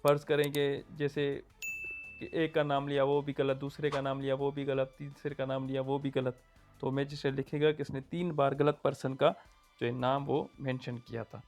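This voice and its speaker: A man with a Pakistani Urdu accent explaining legal procedure point by point, pausing with fillers. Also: mid-range voice, faint music behind. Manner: steady, numbered points, frequent fillers. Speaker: law lecturer. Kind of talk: explanatory lecture.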